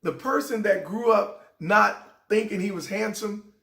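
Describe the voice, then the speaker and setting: Raspy high-voiced man, earnest host. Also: measured pace, fairly bright tone, reverberant. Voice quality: raspy voice that sometimes cracks. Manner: earnest, heartfelt storytelling. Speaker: show host. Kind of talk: inspirational personal talk.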